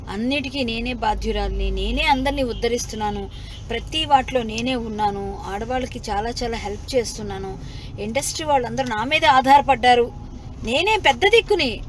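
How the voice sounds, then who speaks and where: A woman's voice: fairly high pitch, slight background noise, rough recording. A woman giving scathing, sarcastic commentary and mockingly imitating others.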